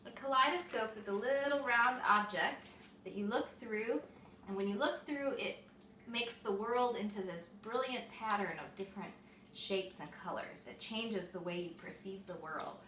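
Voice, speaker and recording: A woman reading a picture book aloud to young children, expressive and explaining things simply, like a mother at storytime. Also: medium pitch, normal speed. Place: echoey room with small children present. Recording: echoey room, distant mic, faint noise.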